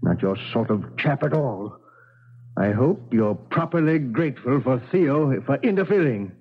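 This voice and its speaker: Husky, pompous old-world father. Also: medium pitch, animated, unhurried. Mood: blustering, indignant. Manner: pompous, old-fashioned phrasing. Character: blustering, gout-ridden, domineering gentleman father of colonial times. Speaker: radio drama actor. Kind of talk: 1970s radio drama acting. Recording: dull 1970s radio recording.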